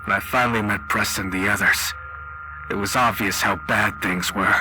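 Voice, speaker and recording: A man voicing a weary, haunted war-veteran survivor in a halting, grave, half-whispered game roleplay monologue. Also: fairly low pitch, steady tone, background noise, voice pushed down, lightly acted. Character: haunted, weary male war-veteran survivor recording his account on tape. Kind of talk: slow dramatic post-apocalyptic horror game roleplay monologue.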